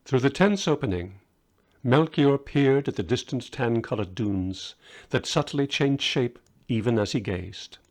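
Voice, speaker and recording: Guy reading a story out loud, audiobook style, mid-pitched voice. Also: lively intonation, unhurried. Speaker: author reading own story.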